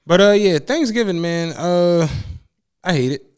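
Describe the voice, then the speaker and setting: A man's voice: high voice, lively intonation, unhurried, a little mellow, clean tone. A man in his thirties in casual, slangy, profane podcast banter as a co-host. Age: 30s.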